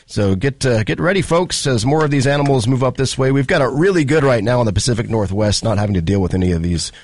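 A man co-anchoring a morning news show, reading stories and chatting in a relaxed, rambling way. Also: medium pitch, fast, no room sound, clean studio sound. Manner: relaxed, rambling, filler-heavy in chat. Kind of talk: news reading and casual banter.

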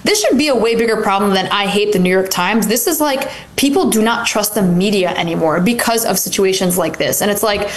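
A woman's voice: mid-range voice, a little fast, few pauses. Chatty woman in her twenties. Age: 20s. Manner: conversational and opinionated, lots of "like". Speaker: co-host. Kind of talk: casual political commentary.

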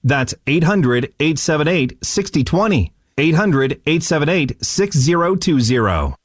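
A man's voice: fairly high pitch, sing-song, unhurried, dry recording, clean studio sound. A man reading a radio commercial in an urgent, pushy sales tone. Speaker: ad announcer.

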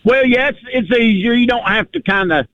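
A man's voice: extremely high pitch, a little fast, no echo, lo-fi sound. A man, rambling in casual chat and stuffing his talk with you-knows.

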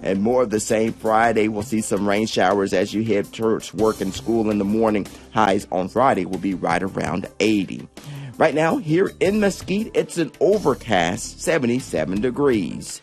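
A man's voice: fairly low pitch, animated, moderate pace, faint music behind. A man, a meteorologist giving an upbeat, chatty weather report on radio. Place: radio studio.

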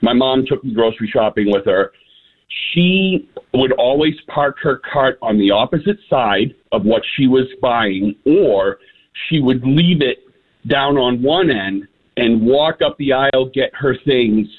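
A man's voice: medium pitch, animated, a little slow. A man, calling in to a radio show and telling opinionated stories in a wry way. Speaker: radio caller. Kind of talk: call-in radio chat. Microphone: phone. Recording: tinny phone line.

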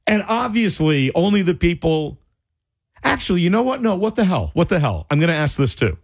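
A male radio host in casual on-air chat, animated and incredulous, his voice starting to go. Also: high voice, no room sound, clean studio sound. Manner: animated, incredulous, quick asides. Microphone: studio microphone.

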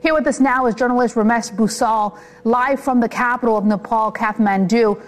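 A woman anchoring a news broadcast, reading the lead and questioning a live guest in a measured, sympathetic way. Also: on the high side. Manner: measured, scripted delivery with a sympathetic tone toward the guest.

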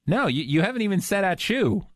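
A man's voice: high voice, big pitch swings, a little fast, no echo. A man hosting a radio show in a studio, bantering with a caller and cracking self-mocking jokes. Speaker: radio host.

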